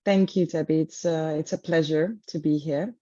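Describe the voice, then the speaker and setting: A woman from Cyprus, a guest teacher, talking in an interview with many pauses and fillers. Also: sits low, fairly even intonation, dark tone, dry recording, highs cut off. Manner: thoughtful, many ums and uhs. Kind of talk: interview conversation.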